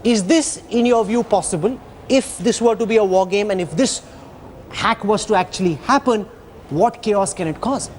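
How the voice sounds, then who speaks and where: An Indian-accented man hosts a news discussion in a distinctly high voice. He puts dramatic, probing questions to his guests. Slight noise sits behind him. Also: moderate pace, a little mellow. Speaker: news anchor. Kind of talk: broadcast news interviewing.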